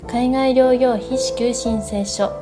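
A woman's voice: a little high, steady tone, takes it slowly, loud music behind the voice. A woman presenting a scripted radio segment in the style of an oracle's message.

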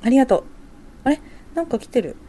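A woman playing a working young mother and wife in a radio drama, talking softly and conversationally as she thinks aloud. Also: high-pitched, very animated, fast. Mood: curious. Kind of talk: amateur radio drama dialogue.

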